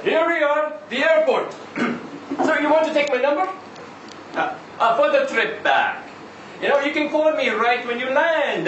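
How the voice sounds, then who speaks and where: A man reading a short story aloud as a chatty, insistent Israeli taxi driver, halting and conversational, full of rhetorical questions and tags. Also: very high voice, fairly bright tone, lo-fi sound, exaggerated voice. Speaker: reader at a live literary event. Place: indoor live reading event. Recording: roomy live venue, distant mic, faint noise.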